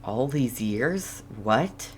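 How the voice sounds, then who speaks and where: A player giving casual, halting commentary on a video game while playing, reacting in short murmured asides. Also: medium pitch, expressive. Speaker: game streamer. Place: voice-over on game footage, game music behind.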